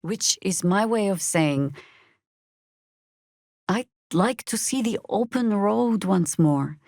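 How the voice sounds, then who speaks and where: An actress playing a stern, battle-hardened older druid heroine in a fantasy video game, dry, sardonic and commanding in delivery. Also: female voice, medium pitch, lively intonation, slow, creaky, a little mellow, dry recording, full character voice. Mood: measured, weary.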